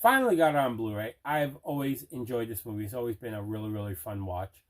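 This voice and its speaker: A middle-aged man casually showing items in an unboxing, deliberate and repeating himself. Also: medium pitch, expressive. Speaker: host of a movie collecting show. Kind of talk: casual unboxing show-and-tell.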